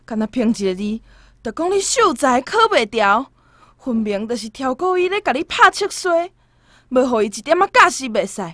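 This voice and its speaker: High-pitched, scolding mother. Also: big pitch swings, moderate pace, fairly bright tone, no echo, clean studio sound, full character voice. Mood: outraged. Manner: scolding, agitated. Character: indignant mother, hot-tempered lady of the house. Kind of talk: Taiwanese radio drama acting.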